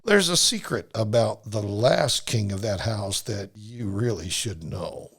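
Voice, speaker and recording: A man playing a sly, flattering prince in a Bible audio drama, condescending and oily, with theatrical pauses. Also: fairly low pitch, slightly rough, exaggerated voice. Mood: sly, persuasive. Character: sly, flattering male prince urging a king toward idols.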